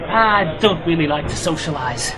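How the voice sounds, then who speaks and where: A woman's voice: expressive, normal speed, a crowd in the background. A woman playing an earnest, insistent local believer who keeps to themselves, in an amateur sci-fi radio play. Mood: informative, insistent. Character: earnest local believer, loner restaurant regular. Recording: noisy home-made radio play, vehicle-like rumble.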